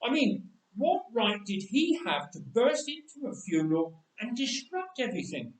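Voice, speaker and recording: Wry young man of Bible times, acted by a male reader, high animated voice, conversational monologue in a roomy space. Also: moderate pace. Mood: indignant. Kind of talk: dramatic first-person storytelling monologue. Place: church, voice echoing. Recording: roomy church echo, distant microphone.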